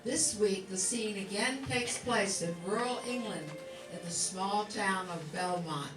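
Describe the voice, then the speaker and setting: A woman playing a formal, measured broadcast announcer introducing a scripted old-time radio serial. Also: mid-range voice, far from the mic, natural voice. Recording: roomy, off-mic recording with music.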